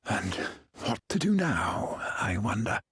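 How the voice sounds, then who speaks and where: Pompous, theatrically swooping villain. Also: male voice, on the low side, very animated, takes it slowly, a bit bright, slightly rough, no echo, studio quality, full character voice. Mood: scheming. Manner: theatrical, sneering, florid. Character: pompous, decadent villain, bullying and self-indulgent. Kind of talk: dramatic video game voice acting.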